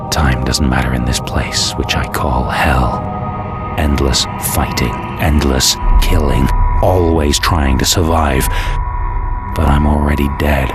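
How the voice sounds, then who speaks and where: An actor playing a cynical, defiant dead killer trapped in hell, narrating a video game in a brooding, sardonic deadpan. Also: male voice, a bass voice. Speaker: protagonist and narrator. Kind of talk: dramatic scripted game narration. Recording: music behind the voice.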